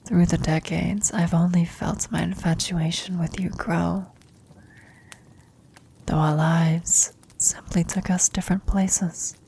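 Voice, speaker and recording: A woman playing a tender, serene elderly former adventurer on her deathbed in an ASMR roleplay, speaking in a reflective, breathy whisper. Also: lowish voice, steady tone, slow pace, warm tone, slight background noise, rough recording. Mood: proud, loving.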